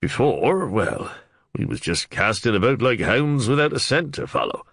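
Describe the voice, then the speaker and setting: A man's voice: mid-range voice, very animated, moderate pace, a bit bright, dry recording, clean studio sound, pitched above the performer's own voice. A man voicing a shrewd, blunt medieval sergeant with plain, rustic speech and a scathing edge. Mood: reasoning. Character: shrewd blunt middle-aged male sergeant. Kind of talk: audiobook character voice.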